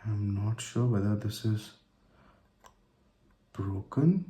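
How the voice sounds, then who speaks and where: A man with an Indian accent narrating an unboxing casually and unscripted, in halting phrases broken by pauses. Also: fairly low pitch, dark tone. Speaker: tech and motorcycle vlogger. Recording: roomy echo with faint background noise.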